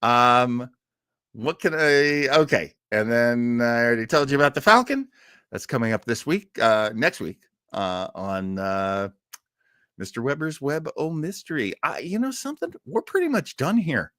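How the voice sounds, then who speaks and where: American man, live show host, animated pitch, casual, jokey, rambling asides, dry close sound. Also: normal speed, dry recording. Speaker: live stream host of an old-time radio show. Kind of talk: casual live chat with viewers.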